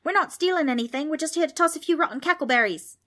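A woman voicing an excitable, cheeky kid in a children's storybook. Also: on the high side, fairly quick, voice pushed up. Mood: yelping, panicky.

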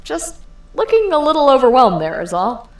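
A woman's voice: high voice, big pitch swings, moderate pace, darkish tone. A woman playing a cocky, encouraging burglar in an audio drama, with a casual, playful, self-assured delivery. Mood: reassuring. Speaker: voice actor in a fiction podcast. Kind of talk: naturalistic audio drama acting. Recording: roomy echo, music underneath.

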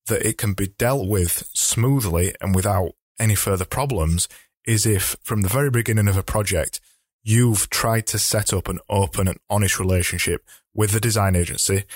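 A man hosting a solo advice podcast, talking in a chatty, explanatory way, slightly hoarse as he loses his voice. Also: on the low side, no room sound, clean studio sound. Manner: chatty, explanatory, with frequent restarts. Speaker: podcast host.

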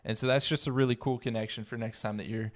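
A man's voice: medium pitch, fairly even intonation, fast. A man hosting a casual roundtable fan discussion, talking with enthusiasm and emphasis. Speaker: podcast host.

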